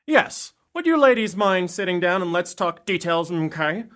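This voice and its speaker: A woman playing a dry, bright-voiced detective. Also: on the low side, big pitch swings. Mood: measured. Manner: dry, deliberate, ponders aloud. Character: self-assured male private detective. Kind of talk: amateur 1930s-style radio drama parody.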